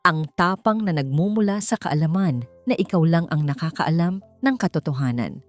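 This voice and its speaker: A woman with a Filipino accent narrating a scripted dramatic story in an expressive, theatrical manner. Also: on the low side, normal speed, soft background music. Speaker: audiobook narrator.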